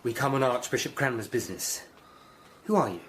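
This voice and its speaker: An actor playing a grave, courteous Tudor lawyer investigator in a radio drama, earnest and measured. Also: male voice, medium pitch, expressive, noisy background, natural voice. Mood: gentle, probing. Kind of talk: radio drama acting, some narration.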